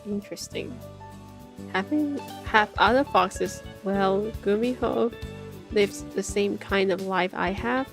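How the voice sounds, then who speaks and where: A woman's voice: medium pitch, takes it slowly. A woman streamer reading a visual novel aloud, mixing narration with casual reactions. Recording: game music faintly behind the voice.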